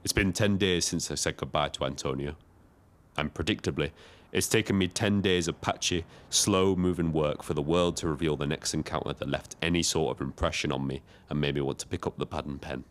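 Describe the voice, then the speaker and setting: An actor playing a lonely, reflective taxi driver in an audio drama, telling his story in a measured, literary way. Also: a bass voice. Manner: wordy, literary, measured first-person storytelling. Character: Frank, lonely reflective male Brighton taxi driver and diarist. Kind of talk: scripted dramatic diary narration.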